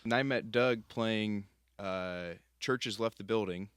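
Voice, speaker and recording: A man hosting a podcast, chatting informally and somewhat haltingly with his guest. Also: fairly low pitch, smooth, no echo, studio quality. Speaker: podcast host. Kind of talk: casual interview chat.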